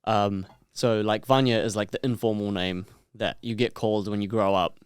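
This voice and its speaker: Young New man, easygoing guest, low smooth voice, dry close sound. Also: fairly even intonation, moderate pace, dry recording. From New Zealand. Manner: easygoing, hesitant, lots of fillers. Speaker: podcast guest. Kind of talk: casual chat.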